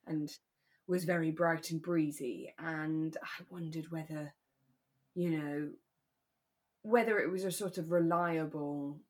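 A British woman with a slightly low voice co-hosts a relaxed podcast. She retells and comments in an expressive, conversational manner, as in an easy chat. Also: takes it slowly. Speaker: podcast co-host. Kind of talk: relaxed radio drama recap chat.

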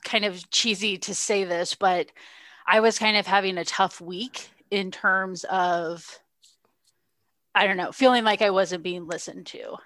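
A woman's voice: medium pitch, fairly even intonation, unhurried, a bit bright, no room sound. A woman co-hosting a podcast, talking in a reflective, earnest way with frequent restarts. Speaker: podcast co-host. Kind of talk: casual chat.

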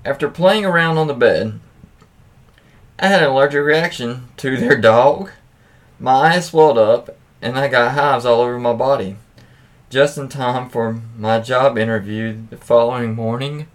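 A man's voice: mid-range voice, measured pace. A man hosting a podcast, reading anecdotes aloud casually with informal, profane asides and interjections. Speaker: podcast host. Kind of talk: casual read-aloud of anecdotes with offhand commentary.

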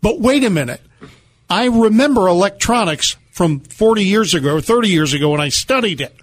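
A man's voice: high voice, expressive, brisk. A man co-hosting a radio show, telling stories conversationally with a jokey, dryly teasing manner. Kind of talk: conversational radio talk with storytelling. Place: radio studio.

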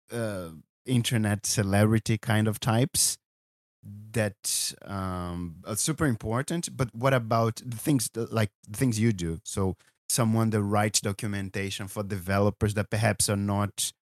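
Interviewer: man, lower voice, dry mic, halting questions. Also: steady tone, dry recording. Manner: halting, searching for words with many "um"s.

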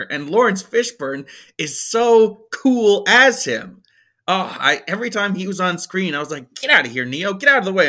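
A man's voice: high-pitched, sharp tone. A man in his twenties, a podcast co-host, rambling through a casual film review with plenty of fillers. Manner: rambling, hesitant, many fillers. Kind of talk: casual movie review chat.

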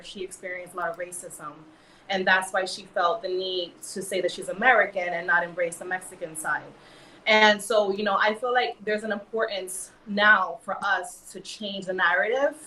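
A woman's voice: mid-range voice, sharp tone, roomy sound, far from the mic, slight background noise, rough recording. A woman from New York with a New York accent, talking earnestly in a casual panel discussion, with many fillers. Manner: earnest, filler-heavy, emphatic. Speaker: model and actress guest.

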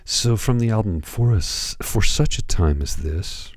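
A hoarse man hosting a radio music show, talking calmly with many pauses and hesitations. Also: fairly low pitch, no echo, clean studio sound. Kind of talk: slow, calm radio presentation.

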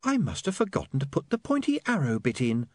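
Guy doing a prim dreamy young lady reporter for an audiobook, high voice, bit fierce, swoopy. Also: huge pitch swings, fairly quick, darkish tone, no room sound.

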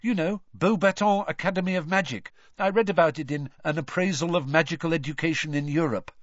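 A man voicing an earnest, bookish teenage witch who talks quickly and indignantly. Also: fairly high pitch, dry recording, highs cut off, voice pushed up, natural voice. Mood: eager. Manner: quick, know-it-all, indignant.

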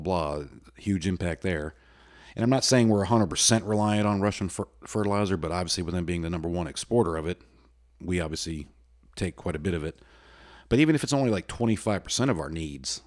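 A middle-aged American man hosting a solo podcast, talking in a loud, folksy, rambling way with many restarts. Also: deep voice. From United States. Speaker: podcast host. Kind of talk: solo casual podcast talk.

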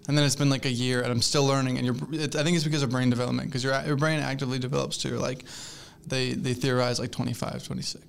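Gravelly-voiced rambling young man. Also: medium pitch, level tone, fast, a little hiss or hum. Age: 20s. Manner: rambling, hesitant, full of fillers and restarts; laughs easily, gets flustered when teased. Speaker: podcast co-host. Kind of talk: casual chat.

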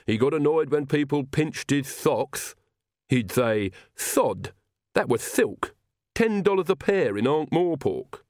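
A man voicing a loyal, proud, gloomy monstrous castle manservant in an audiobook, with slow, deliberate, deferential talk. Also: mid-range voice, darkish tone, no echo, studio quality.

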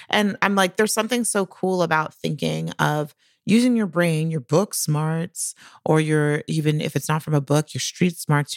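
A woman's voice: lowish voice, creaky, no echo, clean studio sound. An American woman co-hosting a podcast, chatting informally and with enthusiasm. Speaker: podcast co-host. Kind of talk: casual podcast chat.

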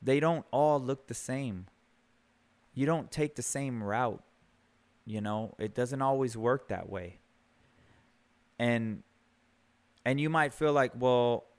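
A man in his thirties hosting a podcast, giving an earnest, conversational motivational talk, often repeating words as he builds a point. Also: mid-range voice. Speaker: podcast host. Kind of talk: motivational monologue.

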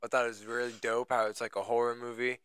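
Young male host with a naturally loud voice, loose and energetic, miked close in a dry room. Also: medium pitch, fast talker, clean tone, no echo. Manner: energetic, loose, swears a lot, filler-heavy. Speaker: podcast host. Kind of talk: casual chat. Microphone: podcast microphone.

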